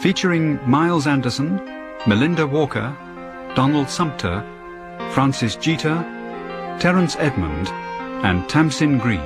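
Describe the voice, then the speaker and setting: A male announcer reading the opening credits of a radio drama in a measured, deliberate way. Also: mid-range voice, expressive. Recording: loud music behind. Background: loud theme music.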